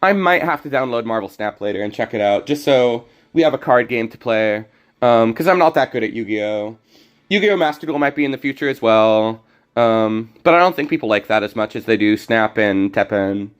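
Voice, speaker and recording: A young man streaming a card game, talking casually and rambling with many restarts, his voice slightly hoarse. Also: medium pitch, animated, normal speed. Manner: rambling, self-correcting. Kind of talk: casual gameplay commentary.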